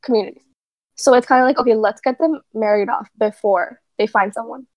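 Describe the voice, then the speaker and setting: A woman speaks thoughtfully on a casual podcast. Her voice is fairly high and sits dry and close. She explains her views at length and hedges as she goes. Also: quick pace, darkish tone, no room sound. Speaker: podcast guest.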